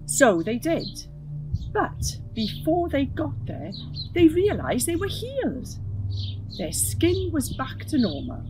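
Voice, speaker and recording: Female children's storyteller, extremely expressive, slow-paced and chatty, over music with birdsong. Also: low-quality recording. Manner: chatty, explanatory, highly expressive. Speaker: story reader.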